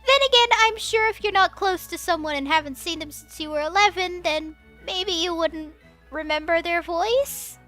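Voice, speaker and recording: A young woman reading a scripted movie review as a voice-over, enthusiastic and expressive with casual slang. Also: extremely high pitch, moderate pace, faint music behind.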